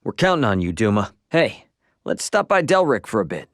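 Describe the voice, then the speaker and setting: A man's voice: on the low side, big pitch swings, moderate pace, no echo, studio quality. A man playing a brash, casual young video game adventurer, slangy and wry, impatient but good-hearted. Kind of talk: video game character voice lines.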